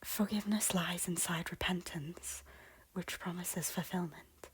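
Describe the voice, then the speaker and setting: A woman's voice: lowish voice, normal speed, a little mellow, slightly rough. A woman playing a repentant former temptress, an earnest and defiant noble lady speaking formally in a theatrical audio drama. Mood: solemn, hushed. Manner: formal, archaic, impassioned speech. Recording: roomy echo, music underneath. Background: music.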